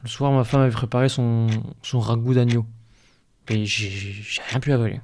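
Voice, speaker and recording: A man's voice: mid-range voice, fairly even intonation, normal speed, darkish tone, slight background noise, lightly acted. A man playing an ailing, anxious village worker in an audio drama, with a hesitant, stammering, folksy way of talking. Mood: weary, unwell. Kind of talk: audio fiction podcast acting.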